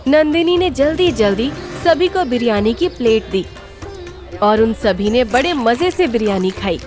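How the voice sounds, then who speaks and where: Female narrator for animated folk tales: high register, scripted storytelling, faint music bed. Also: unhurried.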